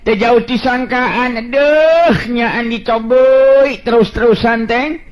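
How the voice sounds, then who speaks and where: A man's voice: very high-pitched, lively intonation, slow pace, highs cut off. A man with a Sundanese accent telling a folk tale and voicing its hero, chuckling often between lines. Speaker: folk storyteller.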